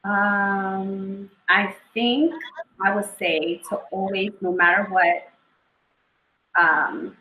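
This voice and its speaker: A young woman poet and author talking in a casual interview, thoughtful and unhurried, with many pauses. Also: lowish voice, pushed and bright, reverberant, slight background noise, poor audio. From Eritrea and northern Ethiopia (family).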